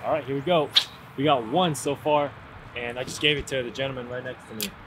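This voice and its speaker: A young man vlogging while fishing on an outdoor sea pier, chatty and excited, talking to viewers into a camera mic. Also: mid-range voice, a little fast, heavy background noise. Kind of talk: casual vlog narration while fishing. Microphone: camera-mounted shotgun mic.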